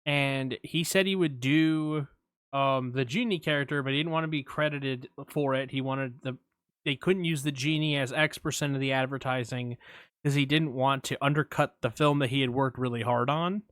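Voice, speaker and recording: Mid-pitched man chatting casually about films. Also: moderate pace, clear, resonant tone, no room sound. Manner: relaxed, agreeable, chimes in with short reactions. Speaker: podcast co-host. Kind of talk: casual film podcast chat.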